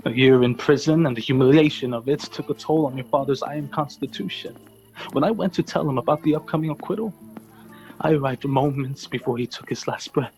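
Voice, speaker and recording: An actor playing a grave, honorable lieutenant in a radio drama, speaking in a solemn, measured storytelling way. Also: male voice, mid-range voice, darkish tone, faint music behind, lightly acted, low-quality recording.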